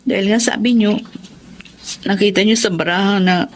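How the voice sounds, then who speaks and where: An actress playing a troubled, downcast wife in radio drama style. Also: female voice, mid-range voice, steady tone, normal speed, a little hiss or hum, close to an everyday voice. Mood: downcast, hesitant. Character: troubled, downcast wife, a fortune teller's client. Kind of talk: Filipino radio drama acting.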